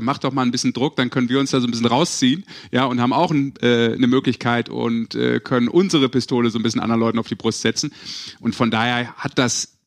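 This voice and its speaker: A man hosting a sports podcast, talking casually and colloquially, mixing in English slang. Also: mid-range voice. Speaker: podcast host. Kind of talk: casual discussion on an ice hockey show.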